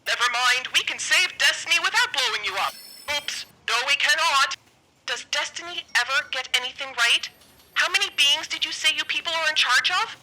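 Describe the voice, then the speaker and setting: A woman's voice: high voice, lively intonation, brisk, pushed and bright, slight background noise, full character voice, low-quality recording. A woman playing a bossy, scatterbrained, exasperated talking book in a comic sci-fi audio drama.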